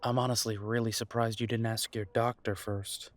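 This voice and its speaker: A man's voice: fairly low pitch, level tone, normal speed, natural voice. A man playing a wounded, bitter werewolf son in an audio drama, guarded and weary with a sarcastic edge. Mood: firm, bitter. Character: bitter, wounded adult werewolf son confronting his parents. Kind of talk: audio roleplay drama acting.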